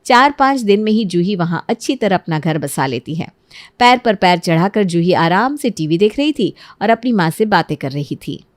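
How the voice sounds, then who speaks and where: Low-voiced woman narrating a story. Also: animated, moderate pace, no room sound.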